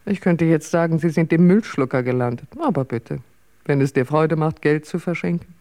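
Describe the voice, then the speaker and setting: Wry older stage diva, low-voiced. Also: female voice, normal speed, warm tone. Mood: breezy, self-mocking. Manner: wry, theatrical, talkative. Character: chatty, self-mocking ageing stage actress. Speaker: actress. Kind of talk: radio drama dialogue.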